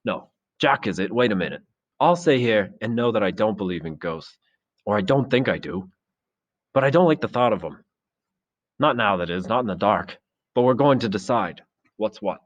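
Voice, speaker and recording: A man's voice: fairly low pitch, lively intonation, normal speed, highs cut off. Man playing a commanding but unsure boy leader. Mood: weary, insistent. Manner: insistent, exasperated, plain-spoken.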